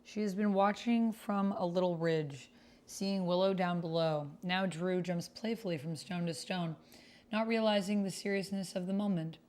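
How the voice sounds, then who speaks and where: A woman's voice: fairly low pitch, fairly even intonation, normal speed, darkish tone. A woman streamer chatting casually and thinking aloud as she plays and reads out a text-based roleplaying game. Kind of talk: casual livestream chat with typed roleplay read aloud.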